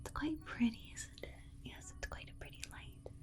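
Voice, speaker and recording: A woman playing a gentle alien scientist in an ASMR roleplay, soothing and coaxing in a repetitive, babying manner. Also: moderate pace, close to an everyday voice. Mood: soothing, encouraging. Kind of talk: whispered ASMR medical roleplay.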